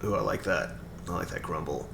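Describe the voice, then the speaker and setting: A man with a raspy voice chatting casually on a live stream, rambling through explanations with lots of fillers and restarts. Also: deep voice, fairly quick. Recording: echoey room with background noise.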